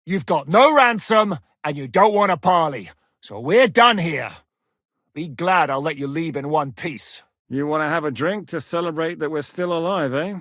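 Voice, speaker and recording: An actor playing a gruff, blunt one-eyed male commander who swears freely and jokes dryly in a video game. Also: fairly high pitch, lively intonation, moderate pace, a bit bright, no room sound, clean studio sound. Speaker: military commander.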